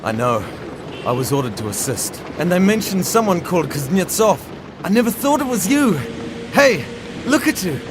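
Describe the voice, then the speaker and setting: An animated, high-voiced Soviet army major. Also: male voice, moderate pace. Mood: warm, amused. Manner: emotive, exclaiming, earnest. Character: earnest Soviet army major, warm to his old comrade. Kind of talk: dramatic video game cutscene acting. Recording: battle noise behind the dialogue. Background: artillery fire and vehicles.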